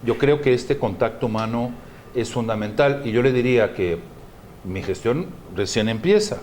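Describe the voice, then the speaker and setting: A man's voice: mid-range voice, lively intonation, a little mellow, slight background noise. A man from Brazil, a native Portuguese speaker with a Brazilian accent, speaking frank, careful Spanish as an interview guest. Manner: frank and outspoken, measured second-language Spanish.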